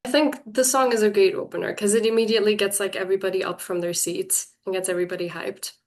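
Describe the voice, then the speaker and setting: A woman's voice: medium pitch, steady tone, fast, a little mellow. A young woman interviewing a music artist, enthusiastic and chatty like a fan.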